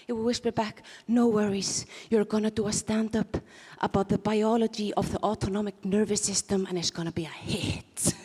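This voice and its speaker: A woman's voice: fairly high pitch, breathy edge, big-room sound. A woman from Iceland with a mixed Icelandic, Scottish and English accent, doing self-mocking stand-up comedy on a conference stage and laughing at her own jokes. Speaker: stand-up performer.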